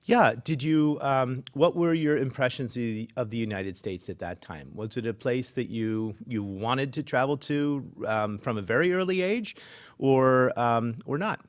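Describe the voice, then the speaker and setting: A man's voice: medium pitch, lively intonation, measured pace. A man, a city mayor, hosting a live civic talk show and interviewing a staff member, earnest and full of small fillers and restarts.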